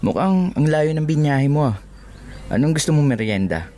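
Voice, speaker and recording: A man's voice: on the high side, lively intonation, moderate pace, dark tone, close to an everyday voice. A man playing a kind, hospitable husband and father in an audio drama. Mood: friendly, welcoming.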